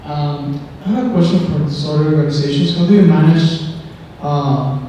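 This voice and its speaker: A man's voice: a little high, normal speed, dark tone, slight background noise. A man from Ecuador with an Ecuadorian Spanish accent, formally putting a question from the audience. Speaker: audience member, law student asking a question. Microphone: audience microphone. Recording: dull echoey hall sound, distant mic.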